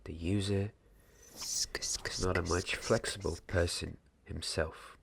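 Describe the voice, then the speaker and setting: A young man doing soft-spoken, half-whispered ASMR roleplay as a gentle wand maker's apprentice, speaking in a hushed, deliberate, halting way close to the microphone. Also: low-pitched, fairly even intonation, gravelly, a little hiss or hum. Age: young adult. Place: small candlelit indoor set.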